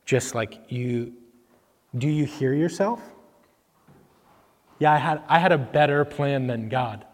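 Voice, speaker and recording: Man, preacher, casual filler-heavy sermon, hall with long echo, mid pitch. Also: takes it slowly, pauses often. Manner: conversational, filler-heavy, voices characters when reading. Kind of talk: casual sermon with scripture reading. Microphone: microphone that cuts out.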